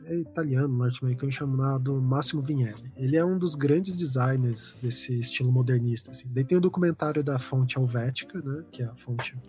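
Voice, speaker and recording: A man's voice: mid-range voice, brisk, few pauses, darkish tone. A man talking as a designer guest in a casual podcast chat, explaining at length with plenty of fillers and restarts. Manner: loose, rambling explanation with many fillers.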